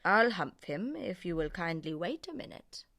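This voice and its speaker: A woman voicing a calm, commanding magical djinn in a children's storybook. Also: lowish voice, moderate pace, no room sound, studio quality. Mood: assured.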